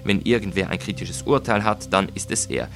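A man as a low-voiced, coaxing, two-faced gentleman. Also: fairly quick, soft background music. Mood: sly, baiting. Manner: flattering, falsely solicitous, coaxing. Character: cunning vengeful gentleman feigning warm, concerned friendship. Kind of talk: dramatised audiobook reading.

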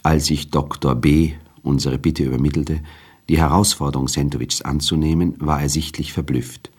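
Male radio drama narrator, extremely low pitch, husky, formal, measured literary storytelling. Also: fairly even intonation, a little mellow.

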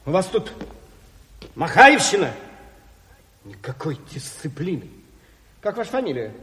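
An actor playing a self-important, indignant Soviet official who blusters officiously in an audio drama. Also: male voice, a little high, sing-song, unhurried, fairly bright tone. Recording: reverberant studio hall.

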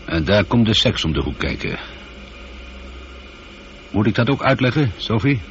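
A man's voice: lowish voice, big pitch swings, moderate pace. An actor playing a lone male astronaut in a radio drama, wry and musing, slightly uneasy. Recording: dull, slightly noisy old radio recording.

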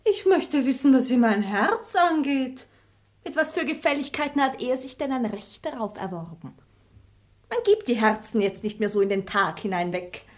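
An actress playing a sharp-tongued, coquettish young maid in a radio play. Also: female voice, a little high, animated, moderate pace. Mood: pert. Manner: pert, mocking, coaxing.

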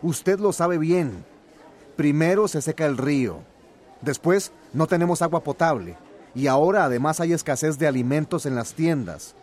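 An actor playing an earnest, determined village farmer in a radio soap. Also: male voice, on the high side, expressive, normal speed, a little mellow, lightly acted. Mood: confrontational. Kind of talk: radio soap opera acting.

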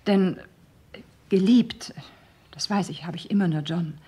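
A woman's voice: on the low side, measured pace, mellow, husky. An actress playing an emotional, talkative bereaved woman in a German radio crime play, effusive and dramatic. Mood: wistful, fervent.

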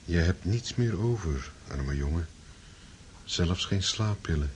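An actor playing a quietly menacing man in a radio drama, measured, patronising and mock-kindly. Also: deep voice, expressive, breathy edge, heavily acted. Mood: quietly taunting. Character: sinister, falsely soothing man, quietly menacing.

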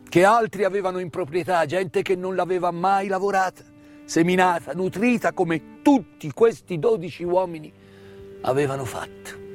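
A man delivers a solemn theatrical monologue, with emphatic, rhetorical phrasing and deliberate pauses. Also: high voice. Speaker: stage actor performing his own monologue. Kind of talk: dramatic theatrical monologue, solemn commemorative storytelling. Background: live musical accompaniment.

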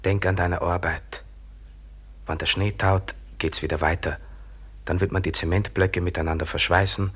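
An actor in a German radio drama playing an insistent companion who urges a trapped worker to endure, in short clipped phrases. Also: male voice, deep voice, flat delivery, normal speed, slightly rough. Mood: coaxing, steady.

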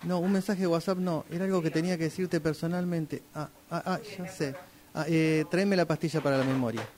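A man's voice: fairly high pitch, steady tone, brisk, darkish tone. An Argentine man performing an acted dialogue exercise as a radio drama workshop student.

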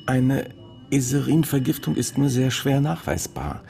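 A man's voice: mid-range voice, lively intonation, unhurried, creaky, warm tone, soft background music. A man playing a matter-of-fact police inspector in a radio play, dry and factual in his delivery.

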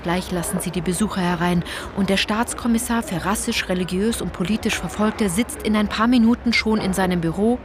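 A woman's voice: mid-range voice, a little fast, hardly any pauses, lightly acted. A woman playing an earnest, opinionated guide narrating a radio play, conversational and insistent, speaking directly to the listener. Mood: confiding. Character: earnest female guide narrating postwar history, opinionated and exasperated. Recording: clear background noise.

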